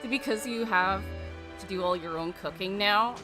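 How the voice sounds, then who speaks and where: A woman's voice: medium pitch, moderate pace. A woman game streamer giving casual let's play commentary, chatting back at the characters as she goes. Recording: loud game music behind voice. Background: game music.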